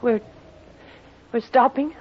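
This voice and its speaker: A woman's voice: a little high, slow pace. An actress playing a coy, hesitant female murder suspect in a vintage radio detective drama. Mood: uneasy. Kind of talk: 1950s radio drama acting. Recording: muffled, faintly noisy vintage radio recording.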